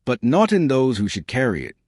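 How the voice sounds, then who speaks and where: A man voicing a weary, dignified older alien bride in a formal, terse, measured way for an audio story. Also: medium pitch, sing-song, darkish tone, dry recording, clean studio sound. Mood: weary, guarded.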